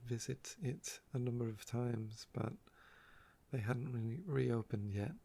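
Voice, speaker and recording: A soft-spoken man with a croaky voice, telling stories about his day in an unhurried, wistful ASMR ramble. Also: mid-range voice, steady tone. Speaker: ASMR video creator.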